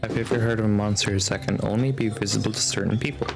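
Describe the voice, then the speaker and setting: A woman playing a calm, reserved traveling hero in a video game, understated and low-key. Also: fast, gravelly, over music.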